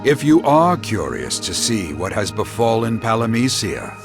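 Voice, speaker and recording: An enigmatic game guide speaks in a low, theatrical voice that swoops widely. His words are archaic and grandiose, knowing and faintly taunting, set over clear background music. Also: very animated, measured pace. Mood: musing, taunting. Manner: formal archaic diction, portentous. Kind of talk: dramatic video game cutscene acting.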